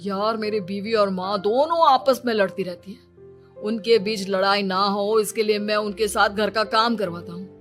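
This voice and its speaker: A woman's voice: medium pitch, moderate pace, faint music behind, natural voice. A woman voicing a harried young husband in a cartoon, an exasperated and pleading peacemaker. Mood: weary. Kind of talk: cartoon dialogue.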